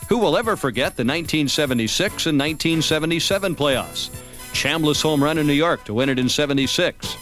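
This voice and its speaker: An American man with a slightly high voice narrates a baseball season review from a script. Music plays softly behind him as he tells it in documentary style. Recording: music bed under narration. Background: background music.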